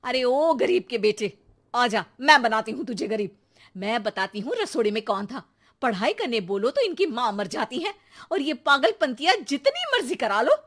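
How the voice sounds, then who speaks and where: A woman voicing an exasperated, sarcastic Indian mother who nags loudly and dramatically in a children's cartoon story. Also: on the high side, big pitch swings, moderate pace. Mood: fed up. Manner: sarcastic, loud nagging with dramatic exclamations. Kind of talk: animated children's comedy story.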